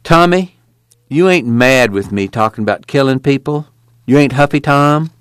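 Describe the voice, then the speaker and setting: A man's voice: medium pitch, moderate pace, smooth, no echo, studio quality, voice pushed up, natural voice. A man voicing an agitated, grieving rural drifter who rambles in folksy rustic grammar, bitter and apologetic, in an audiobook. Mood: timid.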